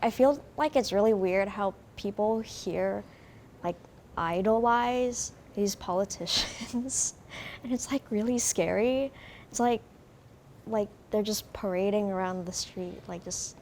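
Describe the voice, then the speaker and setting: A young woman, a student panelist, speaking casually with many fillers and an animated manner. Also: mid-range voice, unhurried, slight background noise. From United States. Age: young adult. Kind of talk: panel discussion answer.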